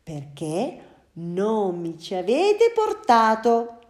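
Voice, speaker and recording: A woman voicing a young schoolboy who answers calmly and matter-of-factly in a storybook. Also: a little high, very slow, heavily acted. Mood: deadpan. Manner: plain, matter-of-fact. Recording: roomy echo.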